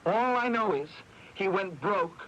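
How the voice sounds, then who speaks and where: Guilty hot-tempered brother, highish voice all over the place, halting, old radio drama w some hiss. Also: male voice, expressive, fairly bright tone, dull audio. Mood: agitated. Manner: halting, agitated, repeats himself. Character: anguished, guilt-ridden brother with a fierce temper. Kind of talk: 1980s radio drama acting. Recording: noisy 1980s AM radio broadcast.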